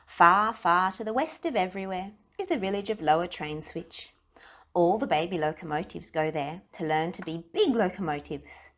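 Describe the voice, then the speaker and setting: A woman reads a children's picture book aloud in a fairly low voice. Her narration is expressive, leaning deliberately on key phrases. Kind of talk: read-aloud storybook narration.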